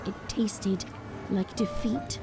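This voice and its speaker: Female narrator, medium pitch, dramatic scripted delivery, prominent music underneath. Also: steady tone, slow pace.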